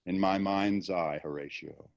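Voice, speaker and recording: A brooding, grieving young prince, acted by an amateur male reader, with a lowish voice and a very unhurried pace. The verse is deliberate and theatrical, in the manner of a Shakespeare stage reading. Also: fairly even intonation, gravelly, dull audio. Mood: wistful. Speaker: amateur volunteer actor. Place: indoors, on a video call. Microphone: video call.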